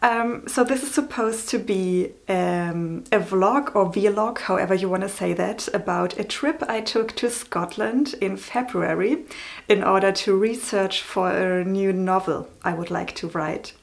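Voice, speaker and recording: A woman's voice: medium pitch, unhurried, vocal fry. A woman in her thirties with a German accent, talking casually to camera in a vlog, chatty and a little apologetic, with frequent ums. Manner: chatty, apologetic, many ums and small laughs. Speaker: vlogger.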